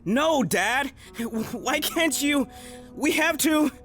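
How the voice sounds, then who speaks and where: High-voiced pleading son, played by a young man. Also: animated, slow, fairly bright tone, faint music behind, exaggerated voice. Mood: pleading, agitated. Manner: pleading, questioning. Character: upset young son pleading for his mother. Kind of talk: dramatic film-style acting.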